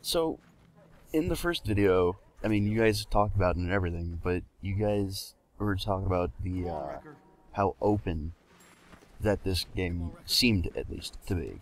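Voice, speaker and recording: Low voiced guy, wry casual co-commentary on a video game. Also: a little slow. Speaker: let's play co-commentator. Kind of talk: casual gameplay chat.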